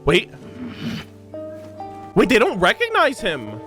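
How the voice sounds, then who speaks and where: A man hosting a reaction video in excited, casual gaming commentary, exclamatory and swearing freely. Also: sits high, slow pace, music in the background.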